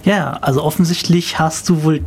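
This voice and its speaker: A podcast co-host in casual chat, asking curious questions and interjecting quickly. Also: mid-range voice, steady tone, a little mellow, husky.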